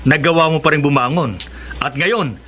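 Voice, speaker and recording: An actor playing a friendly, jokey and admiring young male friend in a Filipino radio drama, with an expressive delivery. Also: fairly high pitch, moderate pace.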